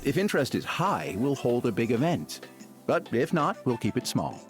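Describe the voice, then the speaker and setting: A man's voice: mid-range voice, big pitch swings, moderate pace, lightly acted. Guy voicing a laid-back game leader, practical and persuasive, bouncy intonation, music under it. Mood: upbeat. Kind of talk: video game voice acting. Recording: background music behind voice.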